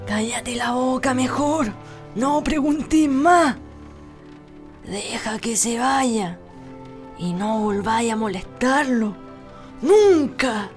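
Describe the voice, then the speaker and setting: Curandera chilena severa, de hablar pausado interpretada por una actriz. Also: female voice, on the high side, animated, faint music behind. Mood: stern. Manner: commanding, scolding. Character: stern, mysterious rural folk healer woman. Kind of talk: radio drama acting.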